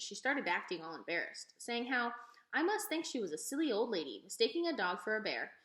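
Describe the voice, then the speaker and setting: A woman reading a children's novel aloud as an expressive storyteller. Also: medium pitch. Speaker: read-aloud reader. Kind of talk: expressive children's storybook narration, told as a young girl. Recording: echoey, roomy sound.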